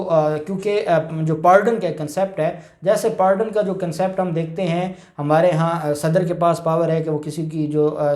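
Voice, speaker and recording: A man with a Pakistani accent giving an informal legal explanation, halting and rephrasing his points as he goes. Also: fairly high pitch, darkish tone. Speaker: lawyer explaining law. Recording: roomy, echoing indoor sound.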